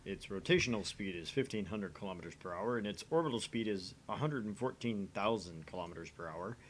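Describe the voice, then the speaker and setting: Man acting a very animated, pompous young schemer. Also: lowish voice, brisk, a little hiss or hum, lightly acted. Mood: explanatory. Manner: grandiose declarations, quieter asides as narrator. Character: theatrical, pompous young self-styled mad scientist, inwardly anxious. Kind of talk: visual novel voice acting and narration.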